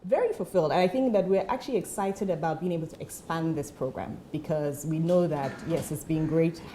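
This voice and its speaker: Low, mellow-voiced woman from Ghana. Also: a little fast, slight background noise. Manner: earnest, fluent with frequent uh fillers. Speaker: education program founder, guest. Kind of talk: TV interview answers.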